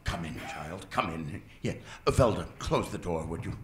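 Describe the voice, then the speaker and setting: An actor playing a sinister, scheming older male mad scientist who talks in a slow, sly drawl with purring pauses. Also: sits low, expressive. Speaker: research scientist. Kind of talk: amateur radio-play acting. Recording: roomy echo, faint hiss.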